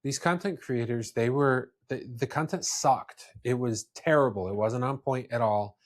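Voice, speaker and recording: A man's voice: medium pitch, moderate pace. A man hosting a casual business discussion, speaking in a blunt, opinionated way. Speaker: podcast host.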